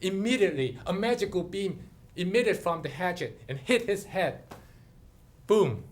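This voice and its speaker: Slow, very animated male storyteller. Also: sits high. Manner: theatrical, deliberate phrasing. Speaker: club speaker telling a story. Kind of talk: dramatic storytelling speech.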